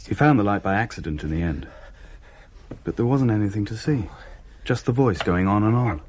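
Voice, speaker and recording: An actor playing a rattled British man, recounting events in clipped, halting, conversational phrases in a radio play. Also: fairly low pitch, expressive, a little mellow, a little hiss or hum, natural voice. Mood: uneasy recollection. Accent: British English. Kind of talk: conversational radio drama narration.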